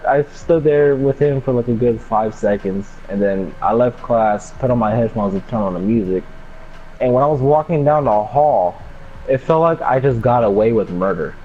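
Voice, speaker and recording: A man's voice: medium pitch, darkish tone. Young American man, slangy, on a dull mic. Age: young adult. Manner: slangy, sweary, rambling. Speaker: storyteller. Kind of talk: casual anecdote storytelling among friends. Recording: dull lo-fi mic, faint background noise.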